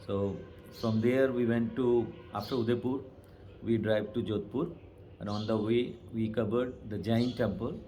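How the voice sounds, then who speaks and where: A man's voice: mid-range voice, fairly even intonation, unhurried, dark tone. An Indian man, a tour driver, plainly recounting a trip route in an interview. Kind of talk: casual interview.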